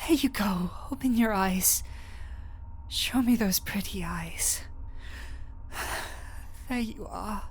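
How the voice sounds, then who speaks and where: Slow-speaking, emotive gentle woman doctor, acted by a woman. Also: medium pitch, a little hiss or hum, natural voice. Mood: tender relief. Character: gentle, desperate female doctor in fantasy adventure. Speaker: voice actor in audio roleplay. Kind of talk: dramatic audio roleplay acting.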